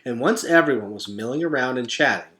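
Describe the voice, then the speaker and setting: A man reading a children's story aloud as an expressive narrator. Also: mid-range voice, fairly quick.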